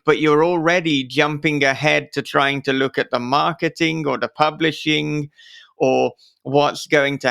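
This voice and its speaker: A man's voice: a little high, creaky, fairly bright tone, clear, resonant tone, no echo, clean studio sound. A man hosting an interview, talking in an earnest, reflective way as he offers thoughtful advice. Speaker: podcast interviewer and host.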